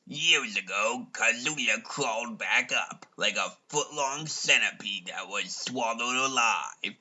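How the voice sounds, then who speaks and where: A man delivering scripted parody narration in a deadpan way, with rambling asides. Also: mid-range voice, slow pace, highs cut off.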